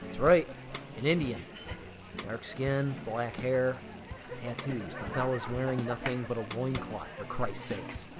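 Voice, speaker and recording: An actor playing a wary, composed speakeasy owner in an old-time radio drama, clipped and polite. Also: male voice, mid-range voice, unhurried, music in the background, lightly acted.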